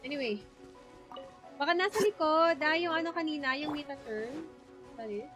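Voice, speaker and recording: A woman's voice: sits high, moderate pace, fairly bright tone. A woman with a Filipino accent chatting casually on a game livestream. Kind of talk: casual livestream chat, mixing English and Tagalog. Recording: game music behind the voice. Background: video game music.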